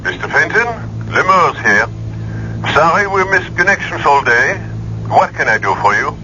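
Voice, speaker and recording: A man playing a brisk, businesslike professional with little sympathy in a radio drama. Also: a little high, very animated. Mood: brisk, cordial. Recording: dull, noisy old radio recording with hum.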